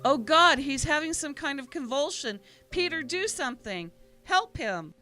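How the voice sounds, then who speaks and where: Anxious wife and mother woman acting, emotive, highish and lively, faint music behind. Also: moderate pace. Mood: panicked. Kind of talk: superhero audio drama acting.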